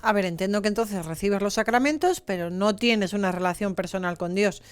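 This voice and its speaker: A woman's voice: medium pitch, fairly quick, soft background music. A woman hosting an interview show, welcoming her guest and asking guiding questions. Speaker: show host.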